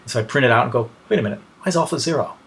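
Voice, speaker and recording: A man with a mid-pitched voice delivers an informal technical lesson. He talks like a lecturer working through steps aloud, often pausing mid-sentence to find the next word. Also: lively intonation, vocal fry, fairly bright tone.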